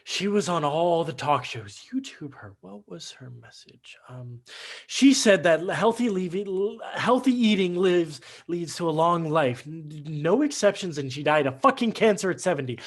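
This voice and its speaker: Man playing agitated brilliant son, stage drama reading, sardonic, halting, wide swings in pitch. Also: expressive. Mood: agitated, bitter. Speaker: stage actor in a play reading. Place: video call, remote staged reading. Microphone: video call microphone.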